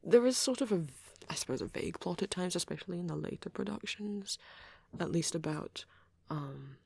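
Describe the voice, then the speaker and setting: Guy doing a shy sweet vampire boy for asmr, halting rambly talk, soft n breathy w some creak, super dry close sound. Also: sits high, lively intonation, no echo, full character voice. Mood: shy, apologetic. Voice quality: breathy, soft, often dropping into whispers. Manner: hesitant, halting, rambling, self-correcting. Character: shy young male vampire, rambling enthusiast, apologetic and sweet. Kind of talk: ASMR roleplay, intimate rambling.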